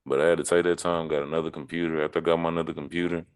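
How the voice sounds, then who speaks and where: Very deep-voiced man rambling casually. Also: level tone, rapid-fire, smooth. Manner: slangy, profane, rambling. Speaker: podcast co-host or guest. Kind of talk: casual podcast chat.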